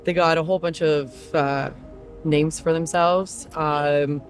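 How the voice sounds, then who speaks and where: Earnest trucker from Croatia, hesitant. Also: male voice, on the high side, fairly even intonation, soft background music. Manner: earnest, frequent um pauses. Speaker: truck driver interviewee. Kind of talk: casual interview answers.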